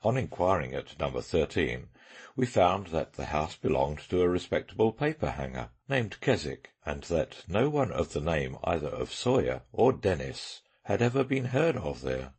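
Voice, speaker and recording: Detective for audiobook drama played by a man: sharp, self-assured, incisive, husky, with animated swings in pitch. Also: normal speed, full character voice. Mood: brisk, recounting. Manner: emphatic, incisive.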